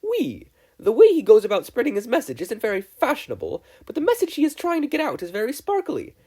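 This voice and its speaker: A man's voice: very high voice, wildly swooping pitch, fast talker, a little mellow, breathy edge, pitched above the performer's own voice, heavily acted. A man voicing a vain, theatrical teenage French boy in a story reading, with a French accent and French words sprinkled in. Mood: flamboyant.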